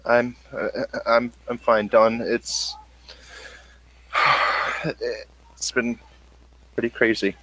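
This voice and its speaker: A man voicing a shaken but trusting woman survivor in halting, informal phrases for a tabletop game. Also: medium pitch, little pitch movement, sharp tone, dry recording, heavily acted, rough recording.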